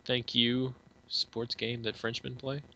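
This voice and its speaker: A man's voice: medium pitch, little pitch movement, slow pace, pushed and bright, muffled recording, a little hiss or hum. A young man chatting casually and jokingly as co-host of a fan movie commentary, slipping into mock quoting voices.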